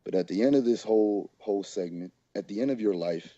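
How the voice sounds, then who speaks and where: A strength coach co-hosting a podcast, his voice a little hoarse and very dark. He talks in a loose, laid-back way. The recording is dry and a bit dull. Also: fairly low pitch, brisk, no echo. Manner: laid-back, many fillers. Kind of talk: casual podcast chat.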